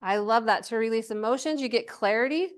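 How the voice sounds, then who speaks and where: Lady with a highish voice doing a casual workshop talk, chatty, encouraging, keeps asking the group stuff. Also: brisk. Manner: chatty, encouraging, checks in with questions and "right?". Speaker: workshop presenter. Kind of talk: informal conference presentation to a live group.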